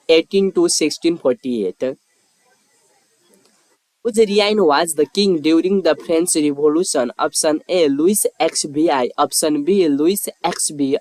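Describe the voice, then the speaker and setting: A quiz narrator with a medium-pitched voice reads from a script. The delivery is formal and measured, like announcing questions and choices. The sound is dry, with no room around it. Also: a little mellow, no room sound, low-quality recording.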